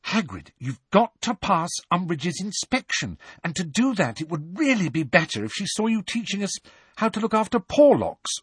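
A man's voice: high-pitched, sing-song, moderate pace, fairly bright tone, breathy edge, dry recording, pitched above the performer's own voice, heavily acted. A man reading aloud, voicing an earnest, anxious teenage schoolgirl who speaks urgently.

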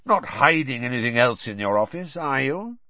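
A man voicing a gruff, suspicious old male wizard veteran with a growl and a sardonic, needling way of talking, for an audiobook. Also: medium pitch, big pitch swings, moderate pace, no echo, highs cut off. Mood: probing.

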